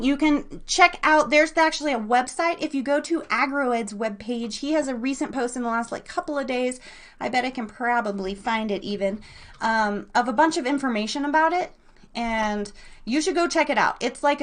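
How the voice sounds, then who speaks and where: High-voiced, bubbly woman hosting a livestream. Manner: bubbly, excitable, slangy. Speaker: host of a live internet radio show and podcast. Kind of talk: casual rambling livestream chat with an online audience.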